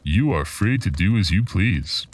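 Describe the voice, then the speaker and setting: A man playing a mysterious, ominous collector of dark tales in a horror radio drama, speaking formally and cryptically. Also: low-pitched, expressive, unhurried, husky, recorded from a distance, exaggerated voice. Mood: calm, ominous.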